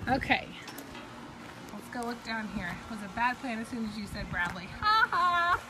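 A woman's voice: a little high, lively intonation, moderate pace, heavy background noise. A young adult woman, hosting a casual, upbeat, teasing livestream walkthrough of auction items in a storage shed. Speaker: auction company host.

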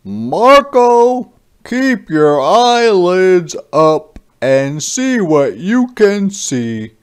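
A man's voice: high-pitched, sing-song, drawn-out words, smooth, voice pushed up, full character voice. A man voicing a stern storybook father who scolds his imaginative son, stretching his words emphatically. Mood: commanding.

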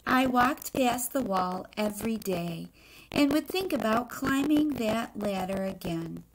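A middle-aged woman reads a children's book aloud in deliberate short phrases, pausing to put questions to young listeners. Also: a little high, lively intonation, rough recording. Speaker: teacher reading a picture book aloud. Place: indoor room in a home.

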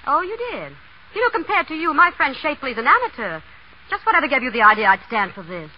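Haughty heiress, high animated voice. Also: female voice, fast talker. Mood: indignant. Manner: haughty, indignant, proper. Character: spoiled, haughty young runaway heiress. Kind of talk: 1930s radio acting. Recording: muffled 1930s radio broadcast.

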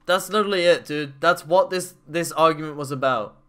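Bright-voiced twenties male streamer. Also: on the high side, brisk, smooth. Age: 20s. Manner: loose, profane banter with chat. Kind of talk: casual reaction commentary.

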